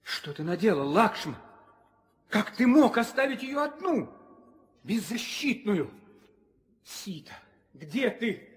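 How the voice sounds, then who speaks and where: An actor playing a noble, anguished warrior hero in a 1960s audio drama. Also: male voice, high voice, very animated, takes it slowly, pauses often, heavily acted. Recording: long reverb, old studio recording.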